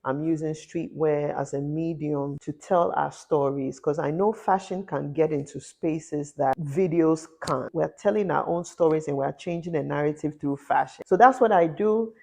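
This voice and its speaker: A woman's voice: normal speed, vocal fry, few pauses, a little mellow. A woman from Ghana, a fashion designer, giving an impassioned commentary vlog that often rises into a rant. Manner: impassioned, ranting, rising in pitch when indignant. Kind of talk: talking-head commentary vlog.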